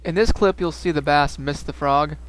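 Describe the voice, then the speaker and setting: A young man giving calm, matter-of-fact fishing tips as a voice-over narrator. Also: on the high side, moderate pace, faint music behind. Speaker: fishing video host.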